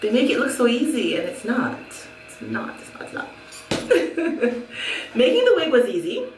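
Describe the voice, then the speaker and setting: A woman's voice: fairly high pitch, wildly swooping pitch, a little slow, distant mic, a little hiss or hum. A middle-aged Jamaican woman reviewing a wig in a casual, chatty way, laughing often and repeating herself. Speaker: hair vlogger. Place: small room at home, indoors. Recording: echoey room, a little off mic.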